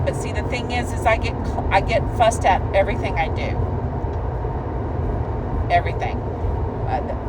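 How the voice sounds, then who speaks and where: A middle-aged woman with a Texas accent giving an emotional, rambling personal monologue, often repeating and restarting her words. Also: medium pitch. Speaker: blogger and commentator. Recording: distant mic, loud traffic noise.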